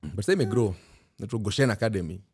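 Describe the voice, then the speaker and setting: A man in his thirties with a Kenyan accent, hosting a casual chat podcast in a mix of English and Swahili, animated and quick to laugh. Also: fairly low pitch. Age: 30s. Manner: animated, laughs often, repeats himself when excited. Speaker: podcast host.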